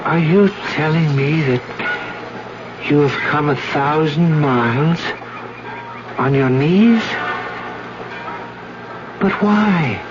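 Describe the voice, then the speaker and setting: An actor playing a polite, earnest young male student, gentle and a little hesitant, in a 1970s radio drama. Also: a little high, slow, long pauses, noisy background. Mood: astonished, concerned. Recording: dull 1970s radio recording with music.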